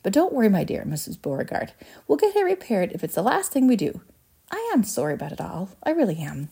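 A woman's voice: mid-range voice, huge pitch swings, fast, creaky, dark tone, husky, exaggerated voice. A woman voicing an eccentric male confectioner in a children's story, fussy and regretful, given to sighing and repeating himself. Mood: brisk, apologetic. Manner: fussy, sighing, repetitive protests.

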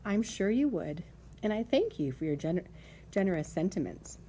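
A woman voicing a wise, motherly female spider in a storybook, measured, articulate and gently firm. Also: mid-range voice, big pitch swings, slight background noise, natural voice. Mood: weary, resigned. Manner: measured, articulate, matter-of-fact.

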